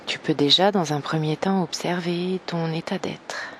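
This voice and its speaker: A woman guiding an evening meditation slowly and gently, in a soft, breathy voice that nears a whisper, with long pauses. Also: on the low side, fairly even intonation, a little hiss or hum. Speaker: meditation guide. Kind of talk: guided evening meditation, yoga nidra.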